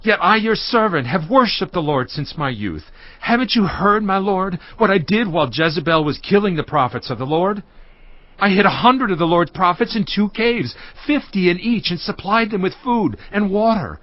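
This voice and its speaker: An agitated servant in a Bible audio drama, pleading and protesting. His highish voice leaps up and down with fear, and the sound is slightly muffled. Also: animated, moderate pace, no room sound. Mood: desperate pleading. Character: frightened, pleading male palace servant, devout.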